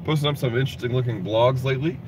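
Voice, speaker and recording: A man's voice: mid-range voice, very fast. A man co-hosting a geek vlog in casual, enthusiastic chat while riding in a car. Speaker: vlog co-host. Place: inside a moving car. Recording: clear car and road noise.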